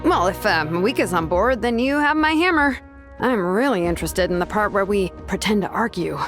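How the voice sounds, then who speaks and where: A woman playing a confident, straight-talking young fighter in a video game, casual and expressive. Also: mid-range voice, fairly quick, close to an everyday voice. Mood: confident, curious. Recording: music playing behind.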